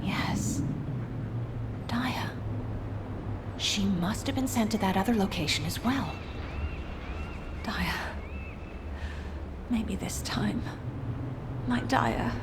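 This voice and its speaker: A driven, grieving female soldier in a video game. Her mid-pitched voice is serious and deliberate, with clear ambient noise behind it. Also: lively intonation. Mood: longing.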